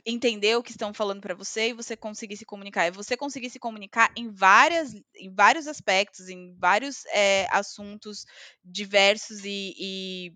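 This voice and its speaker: Brazilian woman, Brazilian Portuguese accent, casual chat, halting with fillers, bright tone, dry recording. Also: mid-range voice, no room sound. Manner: hesitant, many fillers. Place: living in Scotland.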